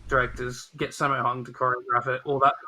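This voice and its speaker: A man with a sharp, forceful voice and a husky edge. He is a podcast panelist, arguing his critical view emphatically in casual film talk. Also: medium pitch, little pitch movement, brisk, poor audio.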